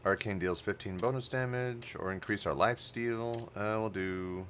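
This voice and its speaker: A man's voice: on the low side, steady tone, moderate pace, a little hiss or hum. A man from Texas with a Canadian-sounding accent, chatting casually while he plays a game and reads its text aloud. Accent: Canadian-sounding North American. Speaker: streamer. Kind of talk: casual gameplay commentary. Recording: loud game music behind the voice.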